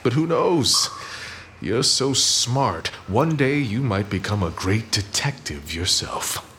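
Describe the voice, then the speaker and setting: Deep, theatrical noir crime boss. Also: male voice, big pitch swings, slow, fairly bright tone, exaggerated voice. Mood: commanding, menacing. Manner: theatrical, commanding. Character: domineering, scheming male crime boss. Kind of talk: hard-boiled comic audio drama acting. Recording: faint background noise.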